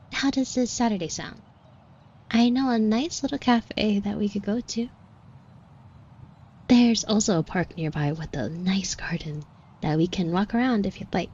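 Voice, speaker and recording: A woman playing a shy, flustered florist with a crush in an audio roleplay, speaking softly and breathily in a hesitant, apologetic way. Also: medium pitch, muffled recording, a little hiss or hum, lightly acted. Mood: pleased, bashful. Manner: hesitant, stammering, rambling, apologetic. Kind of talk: intimate roleplay audio acting.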